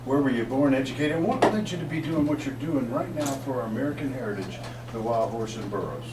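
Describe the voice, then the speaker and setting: A man hosting a community talk radio show with energetic, promotional delivery, reading out phone numbers and introducing guests. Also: medium pitch, fast. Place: radio booth set up in a shopping mall. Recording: roomy, distant mic, noisy.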